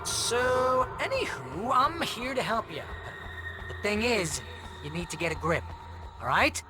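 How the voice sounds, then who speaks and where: Wildly animated, wisecracking sidekick. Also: male voice, high voice, a little slow, noisy background. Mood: glib, coaxing. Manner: glib, sarcastic, slangy. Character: wisecracking imaginary best pal, brash, teasing, needling man. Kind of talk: comic game dialogue. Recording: loud music behind the voice.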